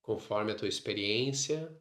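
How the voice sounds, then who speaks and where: Man, Brazilian Portuguese accent, very slow pace, mid-pitched, guided meditation, short phrases with long pauses, echoing room. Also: a bit bright. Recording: roomy, echoing sound.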